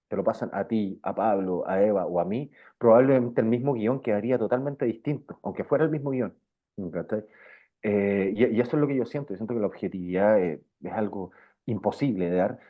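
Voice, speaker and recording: A Chilean man, middle-aged, speaking informally and with slang in a casual interview, often circling back over his phrases. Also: mid-range voice. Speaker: interviewed journalist. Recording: thin, phone-like band-limited sound.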